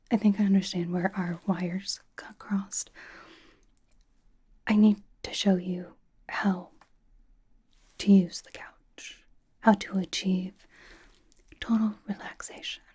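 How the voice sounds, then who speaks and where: Casting: woman playing cocky young female pop idol for ASMR roleplay, mellow breathy whisper, deadpan and teasing. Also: mid-range voice. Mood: insistent. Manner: slow, teasing, halting delivery with dramatic emphasis.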